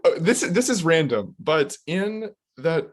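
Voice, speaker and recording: A young man talking casually and laughing easily, loose and relaxed, with lots of "like" in his speech. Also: on the high side, slow. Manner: laid-back, laughs often, many likes. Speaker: co-host, English speaker. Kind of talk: casual chat.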